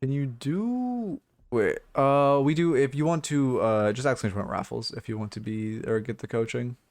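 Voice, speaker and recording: A hoarse man giving blunt, rambling game coaching notes on a livestream, full of fillers and restarts. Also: medium pitch, normal speed, a little mellow, no room sound. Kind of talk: casual livestream coaching talk.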